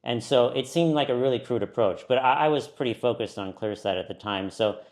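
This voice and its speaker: A middle-aged man from California, a company founder, explaining his work in a relaxed interview with frequent fillers. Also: medium pitch, brisk. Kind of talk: conversational interview.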